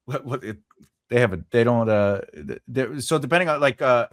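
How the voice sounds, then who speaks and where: A man's voice: mid-range voice, moderate pace, dry recording, studio quality. A man with a Long Island accent hosting a podcast, chatting playfully and animatedly with his guest. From Long Island, New York. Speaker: podcast host. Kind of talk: casual conversation.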